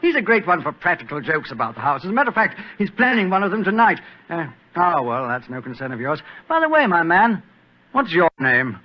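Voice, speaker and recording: Through a muffled old radio recording comes a high-pitched, haughty British property owner. He is suspicious, snobbish and short-tempered, with dramatic swoops in his clipped delivery. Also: very animated, a little fast. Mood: condescending. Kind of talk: 1940s radio drama acting.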